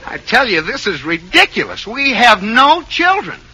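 An actor playing an exasperated, sputtering husband in an old-time radio comedy. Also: male voice, mid-range voice, very animated, moderate pace, bright, forceful tone, slightly rough, full character voice. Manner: flustered, sputtering. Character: exasperated, flustered husband of a zany wife. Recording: noisy old radio recording.

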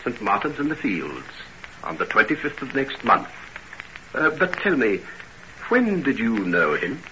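An actor playing a polite, inquisitive middle-aged gentleman, formal and probing, in old radio drama style. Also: mid-range voice, big pitch swings, unhurried. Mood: keenly curious. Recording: muffled noisy old radio recording.

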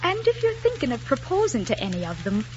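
A woman's voice: fairly high pitch, wildly swooping pitch, fast talker. An actress playing a spirited young Irish woman in love, with a lilting Irish accent and coquettish phrasing, in an old romantic drama. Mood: playful, coy. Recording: dull, noisy old film soundtrack.

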